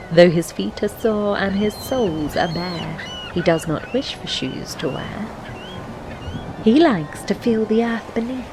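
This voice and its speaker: A woman narrating a fantasy adventure game, reciting rhyming story verse in a measured, poetic way. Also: on the low side, background noise. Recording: music behind the voice. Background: background music.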